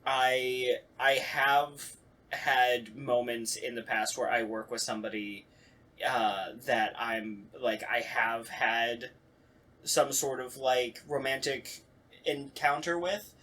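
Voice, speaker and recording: A man's voice: medium pitch, fairly even intonation, takes it slowly, bright, forceful tone. A man chatting casually and candidly as a voice actor, often breaking off and restarting his thoughts. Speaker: voice actor on a talkback show. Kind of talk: casual chat.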